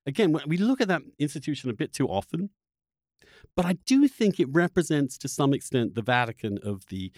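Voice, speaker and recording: A man hosting a conversational political discussion, speaking emphatically and haltingly, often repeating words as he builds a point. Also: on the high side, sing-song, a little mellow, no room sound, studio quality. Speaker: podcast host interviewing a guest.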